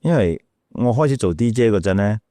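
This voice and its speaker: A man's voice: lowish voice, expressive, brisk, warm tone, no echo, clean studio sound. A middle-aged man with a Malaysian Cantonese accent telling stories casually as an interview guest, acting out quotes and repeating words as he goes. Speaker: radio DJ and podcast guest.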